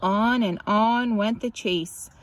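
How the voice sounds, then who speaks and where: A woman reads a picture book aloud as scripted narration, animated and drawing out words for emphasis. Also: a little high, slow pace. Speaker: children's story reader.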